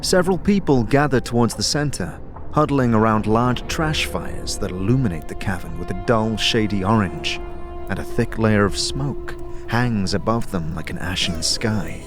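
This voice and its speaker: A man narrating an audio drama in a measured, descriptive, scripted storytelling style. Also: on the low side, expressive. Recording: clear music behind the voice.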